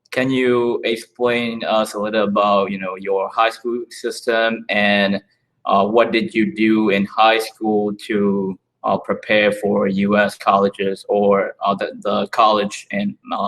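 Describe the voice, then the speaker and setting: A man hosts an online talk series. His voice sits somewhat low. He asks his questions haltingly, with many ums and you-knows. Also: fairly bright tone, low-quality recording. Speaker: host. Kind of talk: webinar interview.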